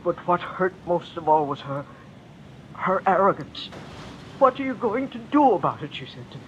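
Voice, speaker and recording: An actor playing a bitter, anguished middle-aged father in an old-time radio drama, with theatrical, halting delivery. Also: high voice, lively intonation. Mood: hurt, indignant. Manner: theatrical, halting, repeating phrases for emphasis. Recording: muffled old radio recording, faint noise.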